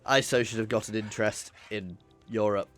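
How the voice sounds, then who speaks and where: A man's voice: fairly low pitch, steady tone, moderate pace, fairly bright tone, soft background music. A man giving casual let's play commentary on a strategy game, thinking aloud with playful exclamations. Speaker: gaming video host.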